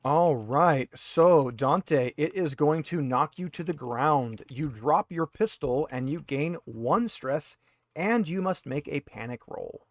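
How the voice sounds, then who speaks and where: A man with a slightly high voice runs a tabletop roleplaying game as game master. He explains rules in an informal way, recorded close and dry. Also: lively intonation, slow pace, no echo.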